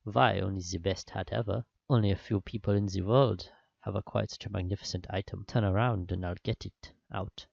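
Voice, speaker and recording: A man's voice: lowish voice, fairly even intonation, fairly quick, vocal fry, a little mellow, no echo, pitched below the performer's own voice. A man voicing a sly, suave male French spy in a comedic game fan-fiction reading, smug and enticing. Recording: dull lo-fi microphone.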